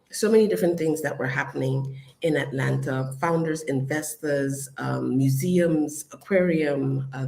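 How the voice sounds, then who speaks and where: An adult host giving a casual live-stream update to followers, chatty and upbeat, with frequent ums and shout-outs. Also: mid-range voice, gravelly. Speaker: business community host.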